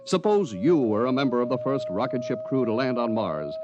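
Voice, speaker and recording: A man's voice: mid-range voice, sing-song, fairly quick, muffled recording, very noisy. A man announcing in polished, dramatic 1950s radio style, reading a script. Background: music.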